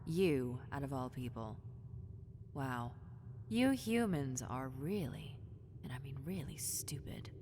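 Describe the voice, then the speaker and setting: A woman voicing a possessive, teasing lamia, a half-snake predator, in a roleplay audio drama, with condescending, seductive taunts that slip into breathy whispers. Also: rumbling low, animated, slow pace, mellow, exaggerated voice. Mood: mocking. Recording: long cave-like reverb, faint noise.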